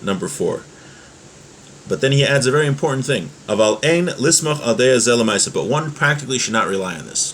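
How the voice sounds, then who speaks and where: A man's voice: mid-range voice, a bit bright. A man with a mid-range voice teaches a religious legal text. He explains it informally, often hesitating as he goes. The recording has noticeable background noise. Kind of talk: text lesson, reading aloud then translating and explaining.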